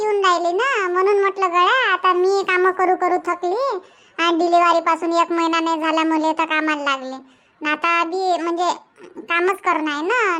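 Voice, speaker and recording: A woman's voice: very high-pitched, sharp tone. A woman voicing a talkative, tired young village mother in a cartoon comedy, with a Varhadi Marathi accent. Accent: Varhadi Marathi from Vidarbha. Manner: talkative, explains at length.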